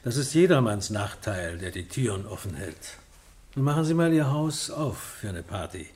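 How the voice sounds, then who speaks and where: An actor playing a worldly, middle-aged male senior police officer in a radio drama, deliberate and opinionated. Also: lowish voice, very animated, darkish tone. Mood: earnest, opinionated. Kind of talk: German radio drama acting.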